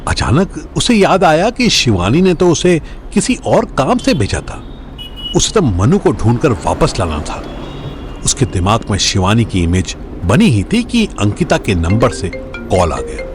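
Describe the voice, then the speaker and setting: A man narrating a Hindi audio drama in a scripted storytelling style. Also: mid-range voice.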